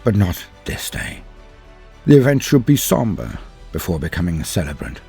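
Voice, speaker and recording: Male narrator for dramatic fiction: very low, rough-edged voice, grave and theatrical delivery over faint music. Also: very animated, normal speed, mellow. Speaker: storyteller narrating fan fiction. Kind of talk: dramatic scripted first-person narration.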